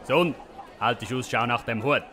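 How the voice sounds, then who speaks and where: A man's voice: medium pitch, normal speed, a crowd in the background, noisy background, lightly acted. An actor playing a stubborn, boastful folk-hero father with a Swiss German accent in a comic audio play. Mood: cocky. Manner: defiant, declamatory.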